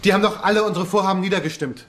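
A man's voice: high-pitched, racing, fairly bright tone, a little hiss or hum. A man playing an impassioned, disillusioned revolutionary parliamentarian of 1848 in a historical docudrama. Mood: weary, bitter.

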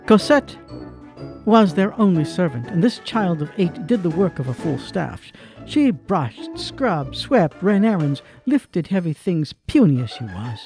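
Male narrator, dramatic scripted storytelling, very animated, mellow tone, faint music. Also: high-pitched, measured pace. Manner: literary, theatrical.

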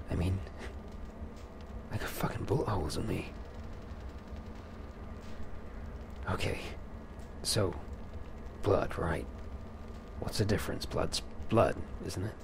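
A man roleplaying a hesitant, shaken new vampire in a video game, muttering and halting nervously. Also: sits low, creaky, noisy background. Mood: bewildered. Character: hesitant, shaken newly turned male vampire, anxious. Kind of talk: improvised in-character game roleplay.

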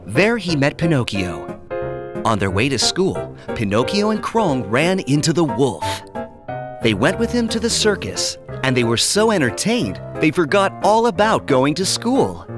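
A woman voicing an expressive fairy tale storyteller, narrating a children's cartoon. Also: a bass voice, a little slow, music in the background, full character voice. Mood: concerned storytelling.

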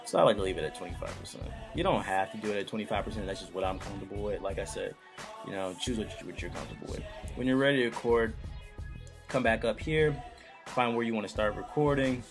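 A young man with a somewhat low voice walks viewers through a tutorial in a loose, joking way. He is recorded on a built-in computer mic in a small room at home, with faint music behind him. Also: fast, creaky. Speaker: YouTube tutorial host. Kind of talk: casual screen-recorded tutorial.

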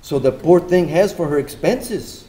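A man's voice: on the high side, a little slow, mellow, lightly acted. A man voicing a friendly, sympathetic South Texas Mexican American street vendor in a live dramatic reading, mixing English and Spanish. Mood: resigned sympathy. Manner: switches between English and Spanish, sing-song hawking. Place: lectern in a large meeting room with seated audience. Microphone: lectern microphone on stand.

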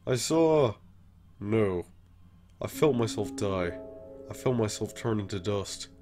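Slow tired skeleton dude, guy doing the voice, kinda low, casual drawl, faint music behind. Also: animated. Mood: haunted, grave. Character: laid-back, weary male skeleton, secretly troubled brother. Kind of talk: animated comic dub acting.

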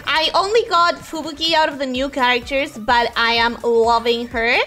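A woman's voice: high voice, moderate pace. A woman who is a native Spanish speaker, chatting casually and expressively as she livestreams a game. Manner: animated, expressive, exclaiming. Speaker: game streamer. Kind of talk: casual livestream chat over gameplay.

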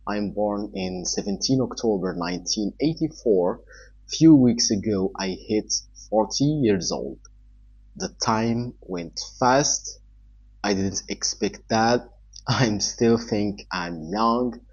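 A man in his forties from Morocco, a native Arabic speaker with a Moroccan accent, giving earnest advice in halting English to a camera in a small room. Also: lowish voice, a little mellow, husky. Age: 40s. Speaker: online entrepreneur giving advice. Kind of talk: talking-head advice vlog. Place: small indoor room with a wall-mounted TV. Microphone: camera in front at desk distance. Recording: somewhat dull, lo-fi sound.

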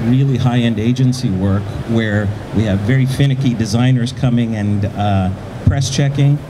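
A middle-aged man speaking conversationally as a panelist, with halting delivery, into a handheld microphone in a large exhibition hall. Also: mid-range voice. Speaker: panelist, print shop owner. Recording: noisy trade show floor, ventilation hum.

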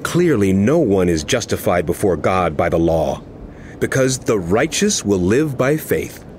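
An actor playing an impassioned male apostle in a dramatized scripture reading, speaking emphatically and deliberately to a wayward flock. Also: low voice, big pitch swings, a little mellow, slight background noise. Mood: earnest, instructive. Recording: music under the voice. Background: background music.

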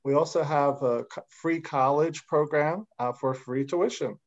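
A man from the college staff explaining costs and financial aid in a steady, informative way during an online presentation. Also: on the high side, measured pace. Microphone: video call. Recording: dull lo-fi video call audio.

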